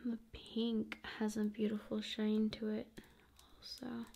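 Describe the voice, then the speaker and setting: Slow, soft-spoken ASMR woman, often whispering. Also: fairly high pitch, monotone, gravelly. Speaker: ASMR video host. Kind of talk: ASMR, soft intimate talk to the viewer.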